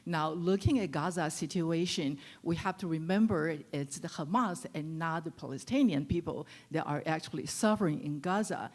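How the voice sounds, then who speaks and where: A woman from Taiwan, asking a panel an earnest, halting question in an auditorium. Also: low-pitched, darkish tone. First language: Chinese. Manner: earnest, halting with many fillers. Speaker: audience questioner.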